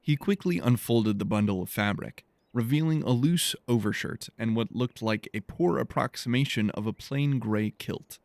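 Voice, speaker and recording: A man narrating scripted fiction as an audiobook, in a measured storytelling manner. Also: mid-range voice, dry recording.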